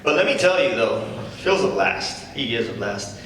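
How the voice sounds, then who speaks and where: A man's voice: mid-range voice, big pitch swings, normal speed, fairly bright tone. A man acting as a cocky, defensive stand-up comedian with awkward, needling patter in a live script reading. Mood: cocky, teasing. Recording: distant echoey hall, faint noise.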